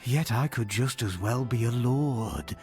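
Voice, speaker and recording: An actor playing a creepy, fawning, self-abasing scavenger peddler in a video game, speaking in a lingering, obsequious way. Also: male voice, mid-range voice, a little mellow, soft background music, natural voice. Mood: self-abasing, dreamy. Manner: slow, lingering, obsequious, repeats words, chuckles. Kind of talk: video game character dialogue.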